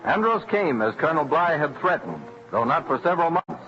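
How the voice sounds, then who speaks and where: An actor narrating a historical radio drama in a solemn, scripted old-time style. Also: male voice, on the high side, very animated, brisk. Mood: solemn, dramatic. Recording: muffled old radio recording. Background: faint music.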